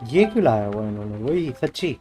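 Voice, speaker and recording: A man with an Indian accent and a mid-pitched voice streams a game. He comments casually and mixes Hindi with English over background music. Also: very animated, rapid-fire. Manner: switches between Hindi and English. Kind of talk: casual gameplay commentary, reading game text aloud.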